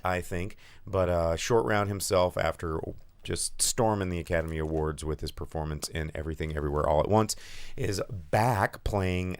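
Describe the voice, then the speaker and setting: Podcast co-host, man, hoarse and deep, loose chatty delivery, dry close sound. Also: no room sound. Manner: rambling, filler-heavy, animated asides. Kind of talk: casual movie news chat.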